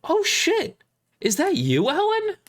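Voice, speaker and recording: Performer doing a flustered man, wildly swooping pitch. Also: huge pitch swings, slow pace, full character voice. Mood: confused. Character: flustered, snarky, groggy man, careless deadbeat father.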